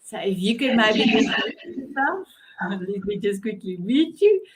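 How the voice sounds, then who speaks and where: A woman's voice: medium pitch, big pitch swings, unhurried, background noise. A woman with a South African accent and Afrikaans as her first language, hosting an online panel conversation in an effusive, complimentary way. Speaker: host of an online talk show.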